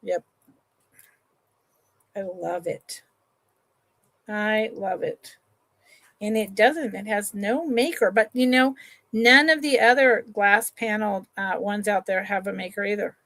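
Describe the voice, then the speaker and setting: Slow, relaxed woman hosting a live sale. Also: mid-range voice, dry recording. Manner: chatty, unhurried, trails off and restarts sentences; affectionate to viewers, calls them honey and sweetheart. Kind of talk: slow, relaxed live-stream sales chat.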